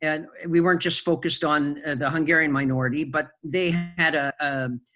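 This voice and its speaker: A man with a fairly high voice for a man, answering interview questions. He talks in halting runs full of fillers and restarts as he recalls events. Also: steady tone, sharp tone. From Canada. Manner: hesitant, many fillers and restarts. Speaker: interview guest, film producer. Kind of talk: conversational interview storytelling.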